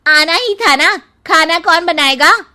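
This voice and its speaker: A woman's voice: extremely high pitch, expressive, takes it slowly, pushed and bright, full character voice. A woman playing a sarcastic, aggrieved young daughter-in-law in a cartoon story, with a complaining manner. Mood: fed up, angry.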